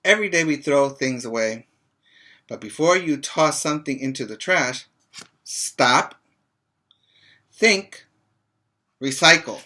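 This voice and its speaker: A middle-aged man with a bright, clear-cut edge to his voice teaches preschoolers. He takes his time, reading a picture book aloud and pausing to explain and check in with the children. His manner is instructive and simple. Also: on the high side, lively intonation. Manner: slow, instructive, addressing children with check-in questions.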